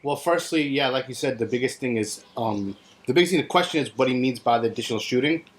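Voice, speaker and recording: A man's voice: medium pitch, fast talker, background noise. A man speaking as a panelist, reasoning tentatively through points in a casual discussion.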